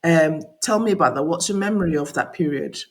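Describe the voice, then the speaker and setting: A man's voice: a little high, fairly quick. A man interviewing a guest, asking open questions and encouraging her to go on. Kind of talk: interview conversation.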